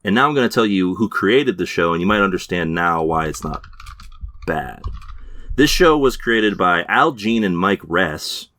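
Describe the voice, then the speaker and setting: A man's voice: sits low, animated, moderate pace, sharp tone, no room sound. A man co-hosting a podcast, chatting casually about TV shows with many fillers and restarts. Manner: hesitant, lots of fillers and restarts. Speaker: podcast co-host. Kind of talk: casual chat reviewing TV shows.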